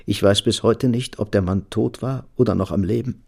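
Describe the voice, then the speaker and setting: A man's voice: on the low side, moderate pace, gravelly, darkish tone, breathy edge. An actor playing a disillusioned, timid middle-aged architect and father in a radio drama, speaking in a subdued, terse way. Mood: grave, confiding. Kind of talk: German radio drama acting.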